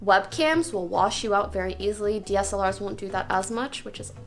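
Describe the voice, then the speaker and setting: A woman's voice: medium pitch, fairly quick, faint music behind. A young woman streamer giving a casual, joking tour of her desk setup, chatty and giggly.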